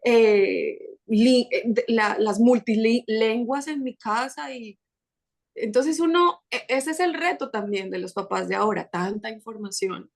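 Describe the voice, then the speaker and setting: Chatty Colombian woman, higher voice. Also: creaky. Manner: animated, chatty, quick restarts. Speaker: podcast guest. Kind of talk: casual conversational interview.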